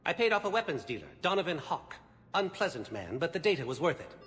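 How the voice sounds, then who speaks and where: An actor playing an assertive alien special agent giving a crisp, formal, emphatic briefing in a video game. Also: male voice, on the high side, sing-song, fast talker, fairly bright tone. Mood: urgent, assured. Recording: long reverb, faint background ambience.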